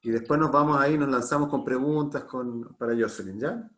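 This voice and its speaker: A man's voice: medium pitch, fairly quick, fairly bright tone. A Chilean man casually hosting a live online talk, chatty and given to repeating short phrases. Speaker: host of an online talk series.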